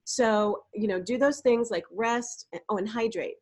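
A middle-aged woman, a voice coach, giving animated, explanatory answers in a podcast interview and sometimes demonstrating vocal exercises. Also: fairly high pitch, moderate pace.

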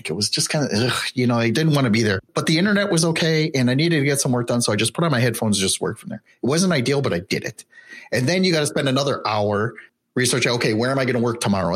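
A man talking in a relaxed way as an interview guest about running a remote team, with lots of "you know" and "right?". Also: mid-range voice, breathy edge. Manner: conversational, with many fillers. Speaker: remote business owner, guest. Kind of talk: casual interview chat.

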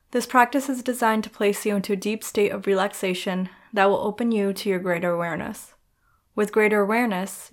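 A woman narrating a guided meditation in a soothing, measured manner, leaving gentle pauses between instructions. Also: medium pitch. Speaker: podcast host and yoga nidra guide.

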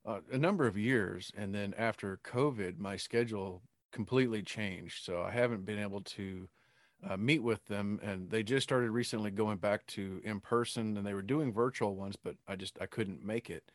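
A man's voice: fairly low pitch, normal speed, no echo, clean studio sound. A man hosting a casual interview, agreeable and informal, feeling his way through his questions. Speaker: podcast host.

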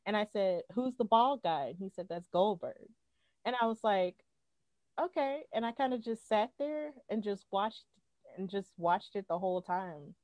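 A woman's voice: mid-range voice, lively intonation, moderate pace, a little mellow, no room sound. A woman in her twenties from Alabama, a podcast guest chatting casually, upbeat and laughing often as she tells stories. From Alabama, United States.

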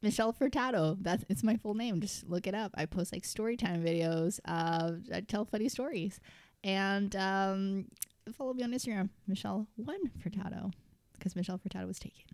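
A woman guesting on a comedy podcast, chatting in a deadpan, self-mocking way. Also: medium pitch, normal speed, dry recording. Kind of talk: casual comedic chat.

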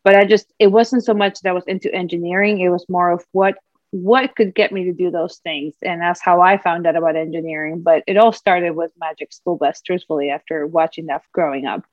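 A woman's voice: lowish voice, steady tone, fairly quick, no room sound. A woman from Peru, a career coach, answering interview questions in an earnest, reflective way. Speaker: career and executive leadership coach. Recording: dull lo-fi dry sound.

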